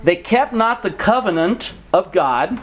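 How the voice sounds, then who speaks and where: A man's voice: high-pitched, animated, unhurried. A man who grew up in Mexico, preaching an earnest, encouraging sermon to young people and reading scripture aloud. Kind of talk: sermon to missionary kids.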